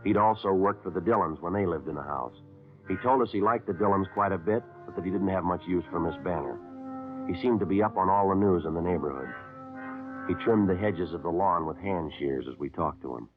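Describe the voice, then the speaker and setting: An actor playing a terse police sergeant narrating in a clipped, deadpan way, in a 1950s radio drama. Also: male voice, deep voice, a little fast, lightly acted. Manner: clipped, deadpan, flat delivery. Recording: tinny old radio broadcast with music.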